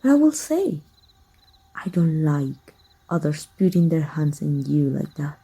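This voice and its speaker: Woman acting a dark, husky, possessive villainess. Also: low voice, big pitch swings, measured pace, heavily acted. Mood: jealous, threatening. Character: jealous, possessive female villain, teasing and menacing. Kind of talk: ASMR roleplay, intimate monologue to the listener.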